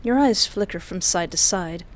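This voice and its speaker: A woman reading a visual novel aloud as a streamer, with expressive, storyteller-like narration and a playful manner. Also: fairly low pitch, moderate pace. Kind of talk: expressive scripted narration with casual asides.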